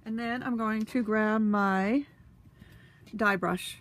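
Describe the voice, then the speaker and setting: A woman's voice: mid-range voice, steady tone, slow, fairly bright tone. A woman with a stuffy, sniffly nose, chatting casually with lots of fillers while she demonstrates a craft tool. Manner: talks while handling tools. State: congested, sniffly.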